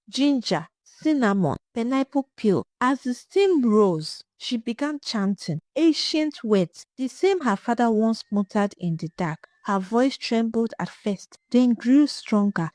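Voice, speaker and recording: A woman narrating a scripted folktale in a dramatic, suspenseful way, with short measured phrases. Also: fairly high pitch, a little mellow, dry recording. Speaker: storyteller.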